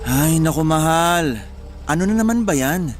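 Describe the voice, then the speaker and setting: An actor playing a hot-headed, joking husband with a Filipino accent in animated, colloquial radio drama dialogue. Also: male voice, a little high, moderate pace, faint music behind, close to an everyday voice. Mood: pleading, frustrated. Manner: animated, colloquial, exasperated or teasing.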